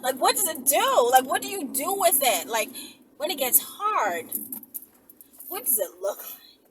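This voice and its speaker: High-pitched, animated woman, teasing and mocking. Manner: mocking, playful, drawn-out rhetorical questions. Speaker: online video creator. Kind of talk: casual teasing monologue to viewers. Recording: distant mic, loud background noise.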